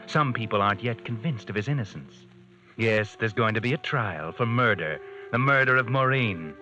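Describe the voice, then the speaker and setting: An actor playing an ominous, all-knowing radio narrator who taunts the characters in an insinuating 1940s radio style, over music on a muffled old recording. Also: male voice, on the low side, moderate pace, bright, forceful tone. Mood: sardonic.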